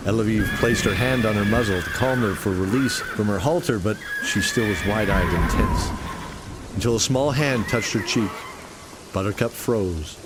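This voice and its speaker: A man's voice: on the low side, moderate pace, very noisy. A man narrating a scripted fantasy radio play in an earnest, dramatic storytelling style.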